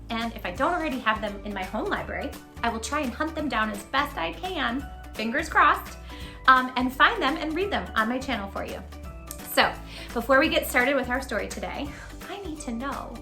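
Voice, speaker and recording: A woman hosting a children's read-aloud, speaking in an animated, emphatic way straight to small children. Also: high voice. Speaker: children's storytime host and tutor. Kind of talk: children's read-aloud and chat to young viewers.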